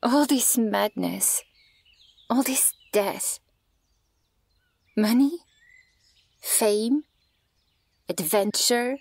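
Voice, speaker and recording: A woman playing a stern, guarded, ancient elven archer in an ASMR fantasy roleplay, speaking in a measured, formal and breathy way with a creaky edge. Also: mid-range voice, dry recording. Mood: scornful, probing. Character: stern, guarded female elven archer, centuries old, scornful of humans.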